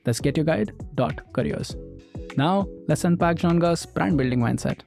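A man's voice: fairly high pitch, normal speed, vocal fry, music in the background. A man hosting a podcast, reading a polished scripted intro and asking interview questions. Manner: polished, promotional delivery. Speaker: podcast host.

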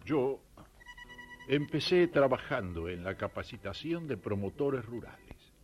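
A man's voice: mid-range voice, very animated, measured pace, lo-fi sound, soft background music. A man from Belgium narrating a personal testimony. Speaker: rural worker giving testimony.

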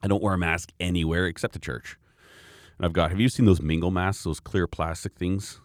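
A man talking in a rambling, animated way in casual chat, laughing often. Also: low-pitched, brisk, no echo, clean studio sound.

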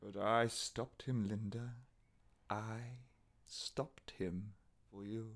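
An actor playing an anguished, obsessive husband in a melodramatic horror radio play, theatrical and pleading between murmurs and cries. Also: male voice, fairly low pitch, steady tone, very slow. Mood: grim devotion. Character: anguished, obsessive husband devoted to his cursed wife.

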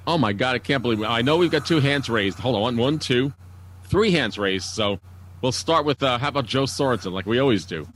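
A man moderates a casual group call. His voice is middle-pitched, with faint noise behind it. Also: lively intonation, fairly quick, a bit bright.

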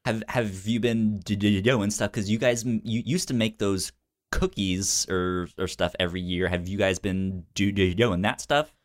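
A man co-hosting a casual film chat, speaking haltingly and often repeating words mid-sentence. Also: on the low side. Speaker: podcast co-host.

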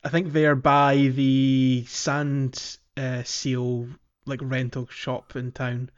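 A man's voice: mid-range voice, level tone, slow, clean tone, dull audio. A man hosting a casual gaming podcast, chatting playfully through a game walkthrough. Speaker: podcast host.

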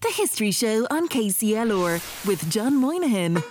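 A woman's voice: on the high side, sing-song, normal speed, a little mellow, faint music behind. A woman reading a scripted radio programme ident and sponsor credit as announcer.

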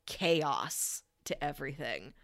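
A woman's voice: low voice, very animated, slow, a bit bright, dry recording, clean studio sound. A woman co-hosting a podcast in casual chat, talking enthusiastically and descriptively. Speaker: podcast co-host reviewing anime.

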